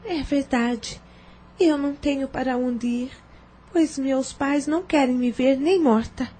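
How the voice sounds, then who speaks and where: A woman's voice: sits high, a little slow, warm tone. A woman playing a troubled, humble young single mother in an audio drama, speaking hesitantly and deferentially. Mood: subdued.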